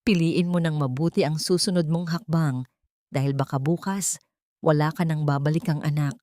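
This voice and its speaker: A woman voicing a ruthless, scornful rich woman villain in an audiobook, with a threatening, deliberate, melodramatic delivery. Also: sits low, steady tone, dark tone, dry recording, natural voice. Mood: cold menace.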